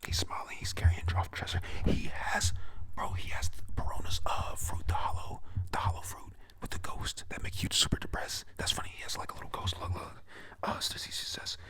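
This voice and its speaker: A young adult whispering a rambling, excited play-by-play recap at home, full of fillers. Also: normal speed. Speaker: anime episode recapper. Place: at home with family nearby.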